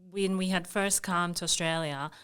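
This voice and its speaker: A young adult woman from Zambia, a guest in an interview, speaking thoughtfully with frequent ums and soft laughs. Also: on the low side, steady tone, normal speed, smooth. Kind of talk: casual conversational interview.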